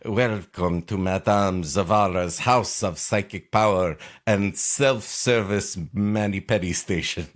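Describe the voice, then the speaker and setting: A man doing a slick shop greeter with smooth salesman patter, a low unhurried voice that still rolls up and down, played for laughs in a comedy podcast. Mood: salesy.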